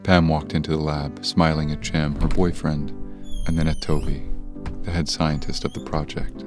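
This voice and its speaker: A deep-voiced man narrates a scripted story in an audio drama. Soft guitar music plays underneath. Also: level tone, moderate pace.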